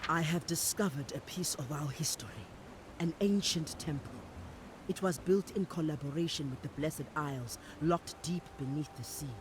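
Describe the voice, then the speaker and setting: An actress playing an earnest, resolute priestess in a fantasy game, speaking formally and deliberately. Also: female voice, low voice, lightly acted. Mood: earnest, pressing. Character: earnest, resolute priestess leader of an island people. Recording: noisy ambient background.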